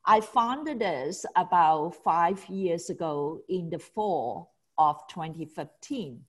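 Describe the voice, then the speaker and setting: A woman's voice: on the low side, animated, slow, husky. A middle-aged woman from Hong Kong, guest in a casual interview, talking with enthusiasm, many restarts and small laughs. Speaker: film festival founder, guest.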